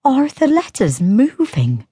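A woman voicing a teasing high-voiced young sister. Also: wildly swooping pitch, mellow, husky, dry recording, clean studio sound, exaggerated voice. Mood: curious. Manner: mocking, quick retorts.

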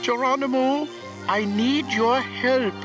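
A man's voice: very high-pitched, slow pace, over music, voice pushed up. A man voicing a troubled mouse scientist pleading for help in a children's audiobook.

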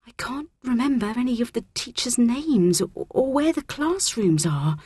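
A woman voicing a small, shy, gentle schoolgirl in a children's audiobook. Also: medium pitch, very animated, measured pace, slightly rough, no room sound, pitched above the performer's own voice, exaggerated voice. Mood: anxious.

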